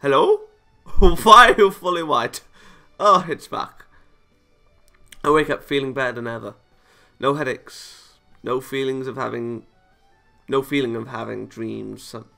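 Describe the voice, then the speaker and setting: A man's voice: mid-range voice, unhurried, creaky, pushed and bright, faint music behind. A man playing a visual novel, reading the story aloud and adding casual reactions of his own. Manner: chuckles and comments between read lines. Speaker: video game let's player.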